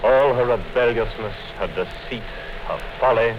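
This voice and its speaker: An actor in a 1950s radio play playing a calm, coldly menacing male interrogator who speaks in a measured way. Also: mid-range voice, natural voice. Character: cold, patient male party interrogator, middle-aged. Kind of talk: 1950s radio drama acting. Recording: dull 1950s radio broadcast with hiss.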